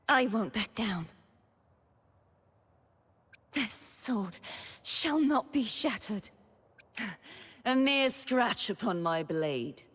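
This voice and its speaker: A woman's voice: mid-range voice, husky, long reverb tail. An actress playing a noble, solemn young woman knight in a video game, with formal, dramatic delivery. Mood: resolute, then cold, commanding. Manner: formal, dramatic, archaic phrasing. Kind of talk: scripted video game character voice lines.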